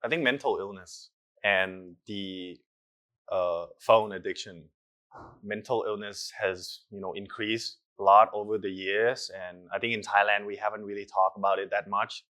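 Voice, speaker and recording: A man's voice: lowish voice, fairly even intonation, moderate pace, pushed and bright. A young man, an actor, giving earnest and reflective interview answers with hesitant fillers.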